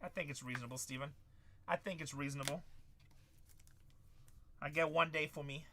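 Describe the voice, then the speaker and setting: A man's voice: a little high, normal speed, pushed and bright, slight background noise. A man in his forties hosting a live card-break stream, calling out cards and bantering with chat. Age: 40s.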